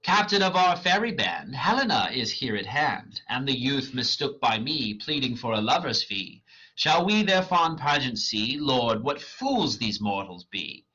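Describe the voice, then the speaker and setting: A man's voice: mid-range voice, sing-song, a little slow, sharp tone, husky, voice pushed up, full character voice. Puck, a man doing a gleeful mischievous fairy. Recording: dull lo-fi recording.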